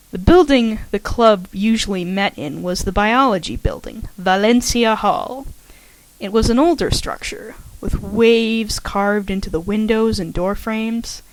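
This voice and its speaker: A woman reading a story aloud at a middle pitch, careful and a little shaky. The sound is dry and close, with no room behind it. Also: no room sound, low-quality recording. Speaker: fanfiction audiobook reader.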